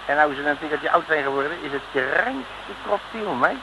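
An actor playing a cheeky, cocky detective in an old Dutch radio serial, bantering in a brash, folksy way. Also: male voice, fairly high pitch, animated, quick pace, gravelly, very noisy. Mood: jovial, bustling. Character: cheeky, cocky male detective on the run at sea. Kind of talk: 1950s-60s Dutch radio serial acting.

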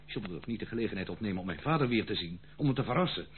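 A man's voice: on the low side, animated, racing, natural voice. An actor in a radio play playing a disowned prodigal son, formal, insistent and theatrical, pleading his case with indignation. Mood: pleading, indignant. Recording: tinny, phone-like old radio play, slight hum.

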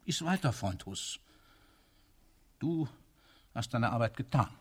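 An actor playing a lofty, weary emperor in a radio drama, husky, solemn and grand. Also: male voice, mid-range voice, lively intonation, takes it slowly. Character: weary, lofty emperor Napoleon consoling a friend.

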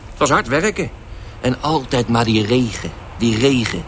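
Clear vehicle noise surrounds an actor playing a shameless, flighty man in the back of a taxi. His medium-pitched voice is glib and erratic, in the manner of radio drama. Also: animated, normal speed, fairly bright tone, lightly acted. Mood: glib, rambling. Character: flighty, shameless jobless male taxi passenger. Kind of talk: radio play acting.

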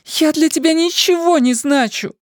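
Man doing a high, strained, tearful young wife, begging and sobbing, audiobook drama, dry close sound. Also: normal speed, dry recording. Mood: sobbing defensive.

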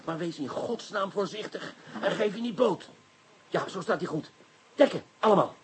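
An actor playing a brusque, impatient, sardonic police inspector who easily gets excited, in a Dutch radio drama. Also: male voice, high voice, normal speed, a little hiss or hum. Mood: intent, focused. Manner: bossy, cursing, sardonic.

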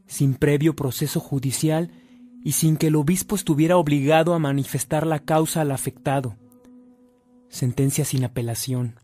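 A man giving formal, measured scripted narration for a radio drama. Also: on the high side, dark tone, slightly rough, no echo.

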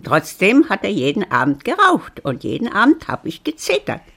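A woman playing a lonely, wry old widow in a German radio drama, musing and grumbling to herself in a creaky voice. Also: mid-range voice, wildly swooping pitch, normal speed, bright, forceful tone, full character voice. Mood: resigned, grumbling. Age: older.

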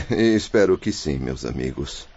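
Actor as deep, weary patient. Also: male voice, big pitch swings, slow, gravelly, a little mellow, husky, full character voice. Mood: weary, resigned. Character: weary, downcast male spirit patient. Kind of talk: radio drama acting. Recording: dull lo-fi recording.

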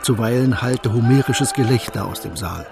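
A man's voice: medium pitch, normal speed, gravelly. A man with a standard German accent narrating a scripted radio drama. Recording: music under the voice. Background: music.

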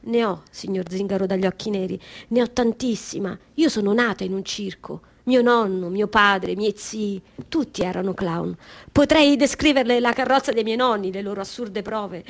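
A woman's voice: medium pitch, normal speed, close to an everyday voice. An actress playing an eager, proud circus-born woman in a radio play, speaking in an insistent, conversational storytelling monologue. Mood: proud, reminiscing.

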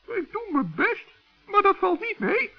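Little male gnome, tiny creaky high voice, earnest and fussy, muffled vintage radio play. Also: big pitch swings, measured pace, heavily acted. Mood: puzzled, earnest. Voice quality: creaky, squeaky. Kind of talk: 1960s children's radio play.

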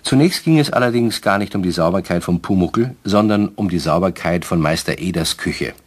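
A man narrating a children's radio play as a calm storyteller who speaks directly to young listeners. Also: low-pitched, moderate pace. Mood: calm, explaining.

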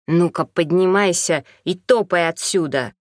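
A big, domineering older village woman from an audiobook, as a female reader puts her on. She scolds brusquely in folksy, colloquial speech and sounds gruff and combative, recorded dry and close. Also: on the low side, a little slow, no room sound, close to an everyday voice. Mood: threatening.

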